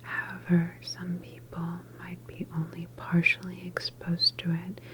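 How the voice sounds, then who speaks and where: Slow, low whispering ASMR woman. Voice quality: breathy whisper. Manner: calm, reassuring, clinical, gently procedural. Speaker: ASMR performer playing a doctor in a medical roleplay.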